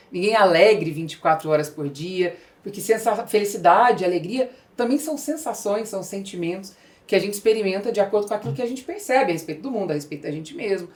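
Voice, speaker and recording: A woman's voice: medium pitch, darkish tone. A middle-aged woman with a Brazilian accent hosting a podcast, explaining her views in a conversational way. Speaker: podcast host, business growth specialist.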